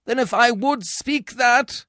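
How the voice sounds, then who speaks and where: A man's voice: extremely high pitch, takes it slowly, bright, forceful tone, dry recording, clean studio sound, pitched above the performer's own voice, exaggerated voice. A man voicing a former Roman consul who pleads earnestly to be heard. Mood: pleading.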